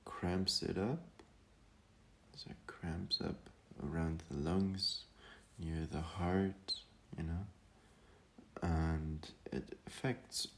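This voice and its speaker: A man guiding a meditation in a calm, gentle manner, speaking hesitantly with long pauses. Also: sits low, vocal fry. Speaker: meditation guide. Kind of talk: guided meditation talk.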